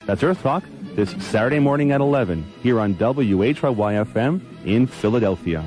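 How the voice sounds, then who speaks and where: A man's voice: mid-range voice, animated, normal speed, over loud music. A man reading a scripted programme promo in a 1980s radio broadcast. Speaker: radio station announcer.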